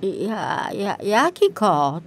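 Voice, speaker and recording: A woman's voice: medium pitch, wildly swooping pitch, very slow, a little hiss or hum, full character voice. A woman voicing a drowsy, grumbling male forester in a children's audiobook. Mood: drowsy, bewildered. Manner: muttering, slightly bewildered.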